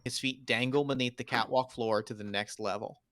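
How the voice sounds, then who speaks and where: A man reads out screenplay stage directions as the narrator of a table read. His pitch sits in the middle of the male range, and the sound is dry, with no room around it. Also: fairly even intonation, moderate pace, fairly bright tone, no room sound. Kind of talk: scripted narration of screenplay action lines.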